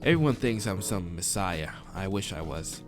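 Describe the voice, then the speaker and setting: A man's voice: sits low, lively intonation, normal speed, faint music behind, voice pushed down. A man reading as a weary, sceptical human stranded among monsters in a visual novel, plain and downbeat. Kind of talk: visual novel dialogue and first-person narration read aloud.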